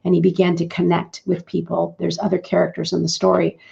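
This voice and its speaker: A middle-aged woman hosting a live business broadcast, talking in a chatty, rambling way with a croaky morning voice. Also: fairly low pitch, completely flat delivery, a little fast, dark tone. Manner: chatty and rambling, with fillers and restarts. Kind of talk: casual live-stream talk. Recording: dull lo-fi stream audio.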